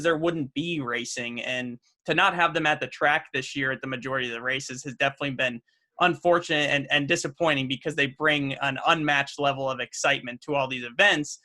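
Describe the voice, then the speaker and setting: A man's voice: mid-range voice, bright, forceful tone. A young man, a race car driver, answering podcast questions in an earnest, upbeat way, with many fillers and restarts. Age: young adult. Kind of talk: casual podcast interview.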